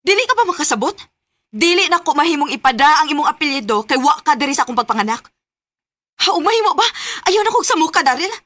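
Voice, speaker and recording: A woman's voice: high voice, very animated, quick pace, a bit bright, no room sound. A woman acting a hurt, stubborn young unwed mother in a Bisaya radio drama, with emphatic delivery. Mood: hurt, angry.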